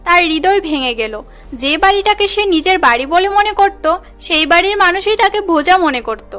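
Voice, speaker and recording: Very high-voiced woman narrating a story. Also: lively intonation, brisk, a bit bright, faint music behind. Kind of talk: scripted cartoon story narration.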